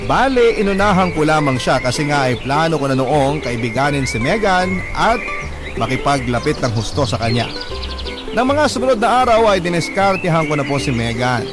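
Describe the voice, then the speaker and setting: A man narrating a love-story letter in an earnest, polite, confessional way, in the manner of a radio drama. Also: fairly high pitch. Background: music.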